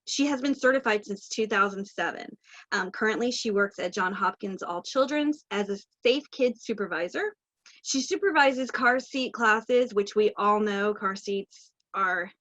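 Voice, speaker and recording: A woman's voice: medium pitch, fairly bright tone. Woman introducing a speaker, muffled call. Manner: polite, reading a bio. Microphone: video call. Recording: dull video call audio.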